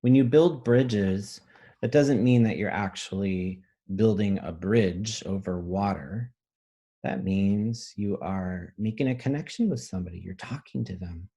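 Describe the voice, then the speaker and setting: A man, an elementary school teacher, reading a picture book aloud expressively and pausing to explain it in simple words for children. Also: lowish voice, warm tone, slightly rough.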